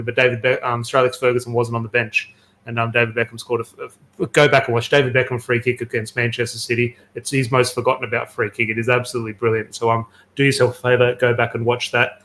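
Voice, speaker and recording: A man's voice: medium pitch, monotone, fast talker, fairly bright tone. A man hosting a casual football podcast, chatty and enthusiastic, telling stories with plenty of fillers. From Australia. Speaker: podcast host.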